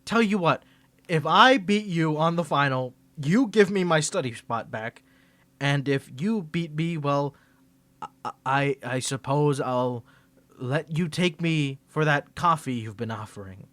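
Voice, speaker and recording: Man, fairly high voice, dry booth sound: stammering, flustered, bossy roleplay rival talking straight to the listener. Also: a little slow, a bit bright, no room sound. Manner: flustered, stammering, defensive grumbling; bossy, scolding outbursts. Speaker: ASMR roleplay performer. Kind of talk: ASMR roleplay, speaking to the listener.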